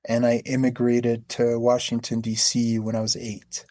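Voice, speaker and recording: Calm, flat-toned man from Peru. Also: mid-range voice, moderate pace, no echo. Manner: calm, reflective, repeats words while thinking. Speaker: guest, healing arts teacher. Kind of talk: interview answers.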